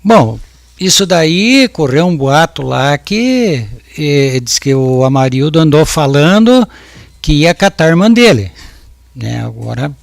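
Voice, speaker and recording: A man's voice: fairly high pitch, very animated, unhurried, creaky, no room sound, studio quality. A man in his fifties giving testimony as a witness at a jury trial, hesitant and full of restarts and repeated words. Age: 50s. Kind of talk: courtroom testimony.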